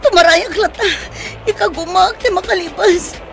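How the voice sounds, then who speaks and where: Radio drama role: frightened Filipino younger sister, Ilocano accent, high, anxious, vulnerable voice. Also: female voice, moderate pace, gravelly, fairly bright tone, full character voice. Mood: panicked, tearful. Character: frightened younger sister, anxious, tearful, clinging to her elder.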